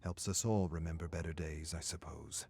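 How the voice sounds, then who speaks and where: A man voicing a weary survivor scientist recording game audio logs, measured and grave, his phrases trailing off into a hush. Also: a bass voice, fairly even intonation, gravelly, a little hiss or hum. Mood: cautiously relieved. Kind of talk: scripted dramatic audio log narration.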